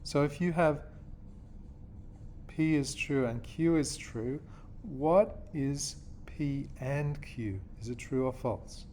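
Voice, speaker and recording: A man from Australia teaches a lesson in a patient, step-by-step way. His voice sits at a middle pitch and he keeps checking that his students follow. A faint background noise runs under the recording. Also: slow, a little mellow. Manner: patient, checks in with "okay?" and "yeah?". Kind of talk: classroom lesson explanation.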